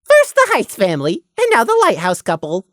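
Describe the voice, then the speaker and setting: A woman's voice: mid-range voice, huge pitch swings, brisk, no room sound, studio quality, voice pushed up. A woman voicing a small male hoofed creature, a self-serving worrier with morbid wit who argues and pleads in an audiobook. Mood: dark humor. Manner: wry, pleading, argumentative. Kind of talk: fan fiction audiobook character voice.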